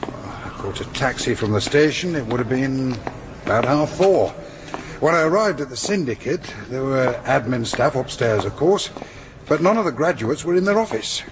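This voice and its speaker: Man playing opinionated college lecturer in a radio play, mid-pitched, wordy and a bit catty, ambience noise behind. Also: expressive, moderate pace, close to an everyday voice. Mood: explaining. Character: opinionated male Oxford academic from Yorkshire. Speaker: university lecturer being questioned. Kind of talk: naturalistic radio drama acting.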